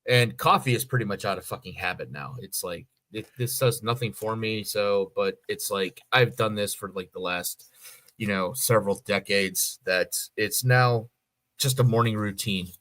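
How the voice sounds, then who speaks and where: A man's voice: lowish voice, normal speed, a bit bright. A middle-aged American man chatting casually as a podcast co-host, rambling and swearing freely. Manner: rambling, profane. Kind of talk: casual chat.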